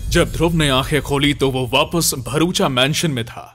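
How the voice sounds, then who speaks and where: A man's voice: fairly high pitch, normal speed, fairly bright tone, faint music behind. A man narrating a scripted horror story as its storyteller.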